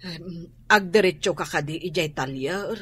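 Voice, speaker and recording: A woman's voice: lowish voice, moderate pace, fairly bright tone, slightly rough. A woman with an Ilocano accent acting a worried, protective mother, fretful and sighing, in a radio drama. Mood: stern, pleading. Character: worried, protective mother standing up for her child.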